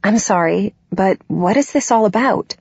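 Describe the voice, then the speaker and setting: A defiant, emotional young woman from an audiobook drama, acted out by a female narrator. Her voice is husky, recorded very dry and slightly muffled. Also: mid-range voice, lively intonation, measured pace, a little mellow, dry recording, full character voice. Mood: confused. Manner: emotional, indignant outbursts. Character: upset, defiant young woman standing up to parents.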